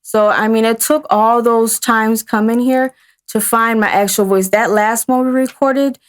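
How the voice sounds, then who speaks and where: Halting woman in her thirties. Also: a little high, fairly even intonation. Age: 30s. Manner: halting, frequent restarts. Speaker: guest singer. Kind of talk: casual interview chat.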